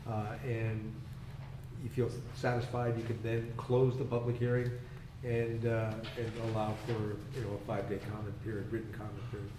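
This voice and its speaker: A man with a fading, hoarse voice explains approval procedure to a town board in a measured way. His voice sits low. The meeting room rings with echo and steady background noise. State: losing his voice.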